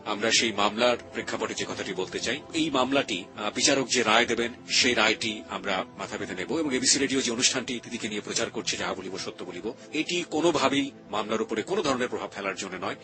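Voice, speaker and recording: A man with a Bangladeshi Bengali accent hosts a radio interview in a grave, deliberate, measured manner. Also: lowish voice. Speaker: radio show host. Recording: music under the voice. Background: background music.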